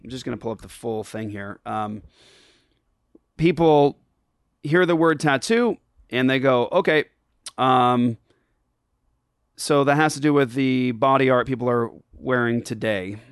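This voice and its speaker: A man's voice: mid-range voice, measured pace, long pauses, clear, resonant tone, dry recording, clean studio sound. A man who grew up in Japan, hosting and casually explaining a Bible passage to listeners, with frequent ums and restarts. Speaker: podcast host answering listener questions. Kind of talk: casual Bible teaching chat.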